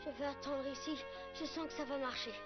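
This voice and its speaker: A woman's voice: sits high, fairly even intonation, fairly quick, close to an everyday voice. A woman voicing a stubborn, earnest little jungle boy in a dubbed cartoon. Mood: hurt, determined. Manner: pleading, insistent, earnest. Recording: muffled cartoon soundtrack with music.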